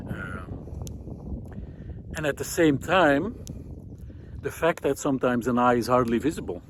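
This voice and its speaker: A man speaking in a reflective, halting monologue as he walks outdoors, hosting his own video series with thoughtful pauses. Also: mid-range voice. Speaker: host of a walking video series. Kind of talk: reflective monologue while walking. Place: outdoors on a country road in hilly farmland. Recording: outdoor recording with clear background noise. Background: outdoor ambient noise.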